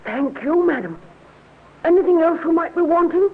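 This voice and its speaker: A man's voice: extremely high pitch, expressive, moderate pace. An actor playing a deferential young hotel page boy in a 1950s radio drama, polite and servile. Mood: polite, eager. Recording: tinny, band-limited old radio recording.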